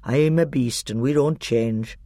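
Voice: mid-range voice, unhurried, dark tone, dry recording, clean studio sound, close to an everyday voice. A reader voicing a loyal male badger who speaks plainly and steadily. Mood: steadfast.

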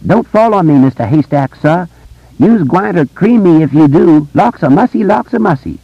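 A clownish boy's mock-servant caricature for an audiobook, pitched high and husky. The delivery is a frantic theatrical wail with a drawl, swooping widely, on slightly dull audio. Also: lively intonation, moderate pace, dry recording. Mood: mock-frantic clowning. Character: wisecracking boy doing exaggerated, frantic minstrel-style servant caricature.

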